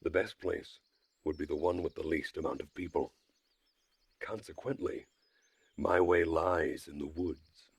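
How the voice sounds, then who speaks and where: A man with a slightly rough voice narrating a first-person visual novel story in a measured, dry manner. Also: rumbling low, steady tone, no echo. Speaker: streamer reading a visual novel aloud.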